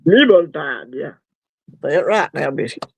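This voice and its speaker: Gravelly-voiced man hosting a casual stream. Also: on the high side, lively intonation, unhurried, dry recording. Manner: rambling, easygoing, laughs easily. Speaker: livestream host. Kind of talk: casual chat.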